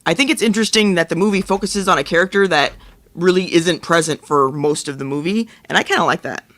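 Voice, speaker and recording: Middle-aged man, slightly high voice, dry sound, casual podcast co-host chat. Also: fast talker, a bit bright, no room sound.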